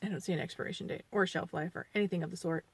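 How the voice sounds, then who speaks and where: A woman's voice: lowish voice, steady tone, rapid-fire, vocal fry, husky. A woman in her forties reviewing a foundation in a chatty, rambling way, a bit sniffly from allergies. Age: 40s. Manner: chatty, rambling, self-deprecating; quieter and mumbling while applying makeup. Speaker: beauty vlogger. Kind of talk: casual makeup review.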